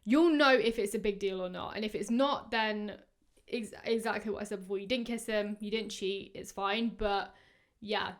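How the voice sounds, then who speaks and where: A woman in her twenties hosting a podcast, giving casual, rambling advice full of fillers, her voice going hoarse. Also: medium pitch, moderate pace. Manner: chatty, rambling, many fillers. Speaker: podcast host. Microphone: podcast microphone.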